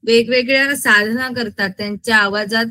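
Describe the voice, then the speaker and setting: A woman's voice: fairly high pitch, fairly even intonation, normal speed, pushed and bright. A woman school science teacher with a Maharashtrian accent, lecturing interactively with English terms mixed in and often asking check questions as she explains.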